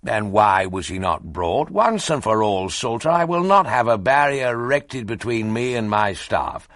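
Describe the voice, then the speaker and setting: A man voicing a pompous, commanding elderly press baron who speaks in a booming, imperious way. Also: sing-song, a little slow, a bit bright, no echo, clean studio sound, heavily acted.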